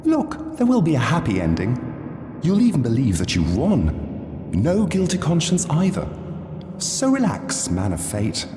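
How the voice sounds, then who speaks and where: An actor playing a mockingly polite, condescending theatrical stage director in a video game, drawling with dramatic pauses. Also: male voice, fairly high pitch, wildly swooping pitch, mellow. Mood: pitying, dismissive. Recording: long echoing hall reverb, faint noise.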